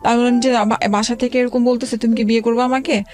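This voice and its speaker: A woman's voice: on the high side, little pitch movement, a little mellow, faint music behind. Hesitant woman telling her life story. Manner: hesitant, halting, with many fillers and restarts. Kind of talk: personal storytelling in an interview.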